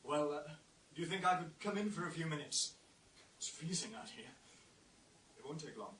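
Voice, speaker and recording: A man's voice: a little high, lively intonation, normal speed, pushed and bright. A man playing a slippery, defiant murder suspect in a radio thriller. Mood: falsely polite. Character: menacing male murder suspect, smooth-talking then defiant. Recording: echoey, distant from mic, faint noise.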